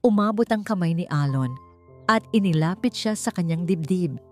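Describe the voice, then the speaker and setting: A woman storyteller reading dramatic scripted narration with solemn, deliberate phrasing. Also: fairly low pitch, animated, faint music behind.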